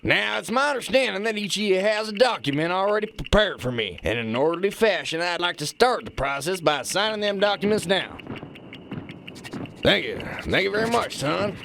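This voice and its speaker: A puffed-up, folksy kingpin drawls and boasts through a radio satire. His voice sits high and swings widely in pitch, and he bullies everyone around him. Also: expressive, fairly quick, creaky, noisy background. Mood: pompous, self-satisfied. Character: pompous, folksy, bullying male kingpin boss. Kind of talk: satirical radio drama acting.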